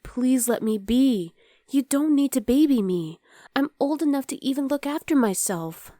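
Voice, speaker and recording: A young demon girlfriend, acted by a woman in an intimate ASMR roleplay. Her voice is fairly high yet very mellow and soft, recorded close and dry. She comes across as a sweet, exasperated, rebellious daughter confiding in her partner. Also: no echo. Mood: nervous, pleading. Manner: intimate, soft, often dropping to a whisper.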